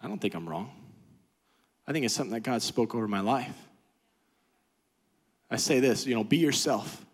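A man preaching a sermon as pastor, swinging from quiet, low talk to loud, high-pitched exhortation. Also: animated, moderate pace, long pauses, husky. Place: church sanctuary.

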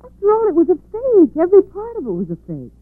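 A detective's skeptical but affectionate companion in a vintage radio drama. Her voice is high and lively, brisk and poised. The sound is tinny and dated. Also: dry recording, full character voice. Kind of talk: 1940s radio acting. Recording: muffled narrow-band 1940s radio recording.

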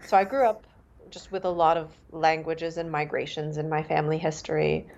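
A Canadian-born woman author, first language Mandarin, speaking in a thoughtful, measured way with fillers and restarts. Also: deep voice, level tone, creaky, a little mellow, muffled recording. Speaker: author and guest. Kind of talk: reflective interview talk.

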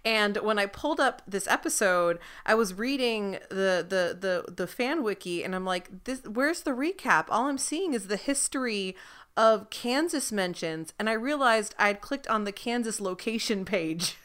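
A woman hosting a podcast, chatting casually about an episode, her voice a bit deep after an illness. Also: animated, moderate pace, a bit bright. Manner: chatty, restarts, laughs while recapping. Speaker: podcast host. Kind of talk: casual chat.

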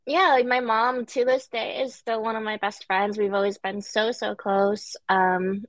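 A young woman from Connecticut, a guest in a casual interview, talking relaxed with many fillers and easy laughs. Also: a little high, no echo, low-quality recording. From United States, Connecticut. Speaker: guest, director and dancer.

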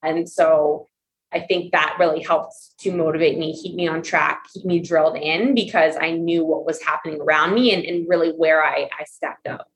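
Low-voiced woman answering earnestly. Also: fairly even intonation, normal speed, a little hiss or hum, poor audio. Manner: reflective, earnest, with frequent "you know" and "I mean". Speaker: guest, competitive swimmer. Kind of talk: casual interview answers.

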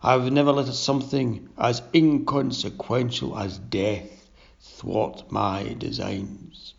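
A sinister undead sea captain, as a storyteller puts him on, lower and rougher than his own voice, drawing his words out with sly, pompous grandeur. The gravel in his tone sits under a dull, lo-fi recording. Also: level tone. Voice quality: gravelly, low and drawn-out.

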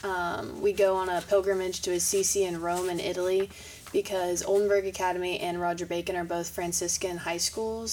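A woman's voice: fairly low pitch, a little mellow. Teen girl guest, upbeat and organized, a bit far from the mic, faint hiss. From Kentucky. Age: teens. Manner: upbeat, organized, starts answers with "so". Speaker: student guest. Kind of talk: casual interview answers.

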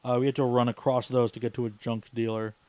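A man with a medium-pitched voice hosts a casual gaming podcast. He talks like he is among friends, teasing and swearing freely as he goes. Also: level tone, fast talker, dark tone, no room sound. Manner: profane, teasing banter. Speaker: podcast host. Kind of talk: casual chat while gaming.

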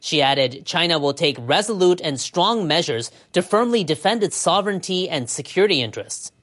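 A woman news anchor reading scripted reports in a measured, formal delivery. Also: fairly low pitch, lively intonation.

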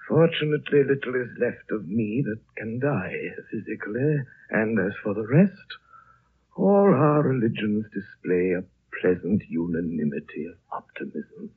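An actor playing an ancient, dying High Lama in a 1930s radio drama, gentle and prophetic, speaking solemnly in a frail, husky, hushed voice. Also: male voice, lively intonation, heavily acted. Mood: tender, solemn. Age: older. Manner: slow, solemn, hushed, with long pauses. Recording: muffled, band-limited 1930s radio recording.

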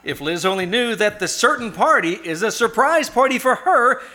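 A man's voice: very high voice, expressive, normal speed, sharp tone, exaggerated voice. A man playing a cheery 1940s radio announcer, reading scripted ads and narration with peppy, emphatic delivery. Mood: wry, upbeat. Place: live stage performance at a winery.

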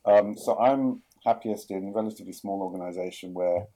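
A middle-aged man with a London accent, talking through his career in a podcast interview, often pausing with fillers and restarting sentences. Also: on the low side, warm tone, poor audio. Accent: London English. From England. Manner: hesitant, many fillers and restarts. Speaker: guest, product executive.

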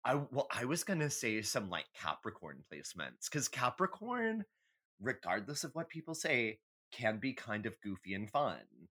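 A man's voice: mid-range voice, big pitch swings, moderate pace, fairly bright tone. A man co-hosting a podcast, chatting casually and comedically in an emphatic, opinionated way with some swearing. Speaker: podcast host.

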